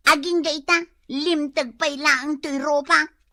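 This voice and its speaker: Radio drama: woman playing a fed-up wife, high, bright and tense, dry close mic. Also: steady tone, measured pace, no echo. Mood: defensive. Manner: complaining, defensive. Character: troubled wife ashamed after a fight. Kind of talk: Ilocano radio comedy drama acting.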